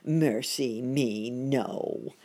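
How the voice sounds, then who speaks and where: A woman voicing a kind, sensible muskrat housekeeper who speaks in a calm, reassuring way. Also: a bass voice, drawn-out words, full character voice.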